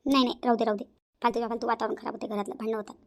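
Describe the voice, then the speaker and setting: A woman's voice: a little high, little pitch movement, very fast, creaky. A woman playing a meek, long-suffering elder daughter-in-law with a Varhadi accent in a cartoon family drama. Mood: reluctant. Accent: rural Maharashtra, Varhadi. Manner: timid, plaintive, conflict-avoiding.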